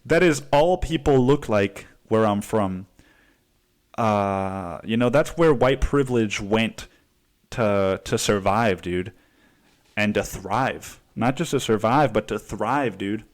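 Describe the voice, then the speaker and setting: Profane young man ranting on a podcast. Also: slow. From Cancun, Mexico. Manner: profane, says dude a lot, mimics people in higher voice. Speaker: podcast host. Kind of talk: casual solo podcast rant.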